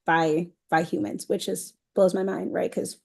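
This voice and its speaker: A woman speaking as a podcast guest, candid and expressive, telling personal anecdotes in casual conversation. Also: on the low side, unhurried, gravelly.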